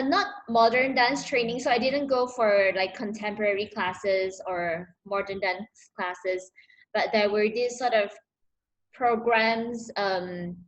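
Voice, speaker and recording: A young woman from Malaysia, answering interview questions over a video call, relaxed and laughing often between fillers. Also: medium pitch, highs cut off, slight background noise. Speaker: interviewee, dancer.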